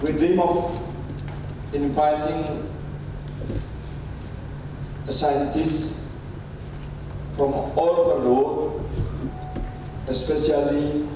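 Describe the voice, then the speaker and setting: An older man, a native Indonesian speaker, giving a closing speech as a professor, pausing often with "uh". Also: fairly high pitch, little pitch movement. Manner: halting, with frequent "uh" pauses. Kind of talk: speech at a lecture podium. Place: university lecture hall. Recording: echoey hall from far off, noisy.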